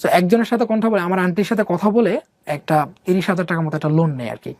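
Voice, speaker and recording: Fast-talking young man, dry close sound. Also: high voice, darkish tone, no echo. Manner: rambling, mixes English words, many fillers. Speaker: guest telling his life story. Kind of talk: casual conversational storytelling.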